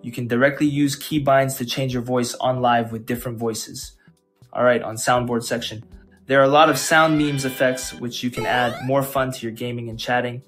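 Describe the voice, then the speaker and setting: A man hosting a tech channel, narrating a scripted software tutorial. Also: medium pitch, steady tone, normal speed. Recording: music behind.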